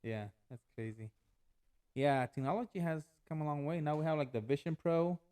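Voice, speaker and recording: A man's voice: on the high side, normal speed, mellow, smooth. A young adult man hosting a podcast, chatting casually and encouraging his guests with frequent "yeah" and "like". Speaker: podcast host. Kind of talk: casual interview conversation.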